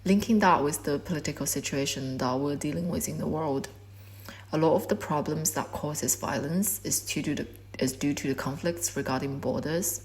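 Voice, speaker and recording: A woman in her twenties whose first language is Korean gives a measured, reflective talk about her art. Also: low-pitched, darkish tone, slight background noise. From China. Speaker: artist. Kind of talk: prepared artist talk.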